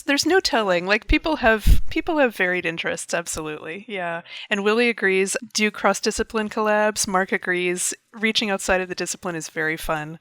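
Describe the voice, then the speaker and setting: A woman's voice: mid-range voice, a bit bright, no echo. A woman hosting a casual video call chat, chatty and laughing often as she talks. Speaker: host of a creator hangout.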